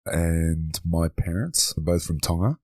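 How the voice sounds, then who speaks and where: A man, a guest on a podcast interview, talking in a relaxed way and often repeating words as he thinks. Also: low-pitched, a little slow, no echo, studio quality. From Australia. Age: 30s. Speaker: podcast guest, men's coach.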